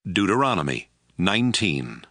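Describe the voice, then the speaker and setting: A man's voice: low-pitched, sing-song, normal speed, bright, forceful tone, no echo, studio quality. A man making scripted chapter announcements for an audio Bible.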